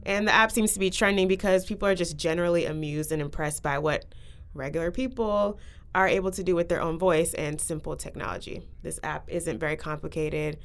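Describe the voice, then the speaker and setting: Young woman podcast host, kinda low voice, casual chatty talk w guests. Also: steady tone, a little fast.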